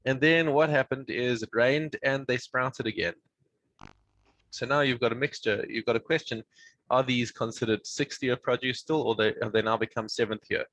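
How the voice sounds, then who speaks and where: A man teaching a Mishnah study session over a video call, explaining in a halting way with many restarts. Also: mid-range voice, a bit bright, no echo, poor audio.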